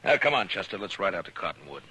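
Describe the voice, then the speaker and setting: A low-voiced frontier marshal in an old radio western. He is terse, uneasy and dryly wry, a weary lawman on a noisy vintage recording. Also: very animated, fast. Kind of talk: old-time radio drama acting.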